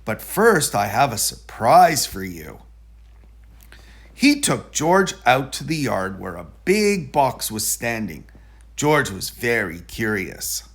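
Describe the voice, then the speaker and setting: A man reading a children's story aloud in an expressive, deliberate storytelling delivery. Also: mid-range voice, slightly rough. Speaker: storybook reader.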